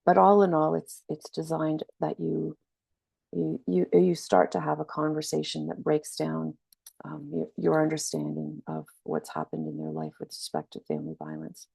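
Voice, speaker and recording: A woman's voice: sits low, normal speed, gravelly, darkish tone, husky, no room sound. A woman with a slight cold, giving a webinar training presentation in an earnest, explanatory way. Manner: earnest, explanatory, frequent restarts. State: has a cold, slightly scratchy.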